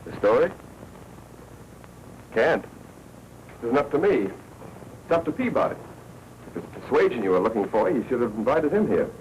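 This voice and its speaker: An American actor playing a brisk, wisecracking newspaper editor in a 1940s noir film, clipped and commanding. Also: male voice, mid-range voice, big pitch swings, a little mellow, natural voice. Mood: cool, guarded. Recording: muffled 1940s film soundtrack with hiss.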